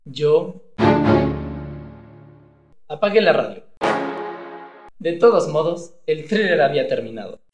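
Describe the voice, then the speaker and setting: A man's voice: fairly high pitch, sing-song, slow, pauses often, echoey room, highs cut off, over music. A man playing a cowardly, boastful henpecked husband in a comedic radio play, hesitant one moment and blustering the next. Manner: hesitant stammering, then blustering.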